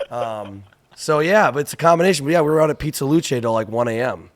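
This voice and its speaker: Tired, hoarse man chatting. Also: medium pitch, lively intonation, brisk. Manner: casual, rambling with fillers. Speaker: journalist co-host. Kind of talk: casual sports podcast chat.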